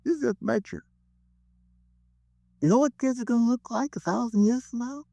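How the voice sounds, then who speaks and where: A man's voice: extremely high pitch, moderate pace, vocal fry, dark tone, no echo. An actor playing an eccentric, rambling crank who earnestly preaches doom in a 1950s radio drama, hesitating as he goes. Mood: earnest, foreboding. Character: eccentric male crank author, rambling doomsday prophet.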